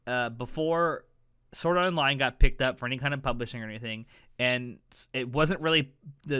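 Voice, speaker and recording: Bright, clear-voiced man chatting on a podcast. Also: mid-range voice, no echo, clean studio sound. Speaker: podcast host. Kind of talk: casual podcast chat.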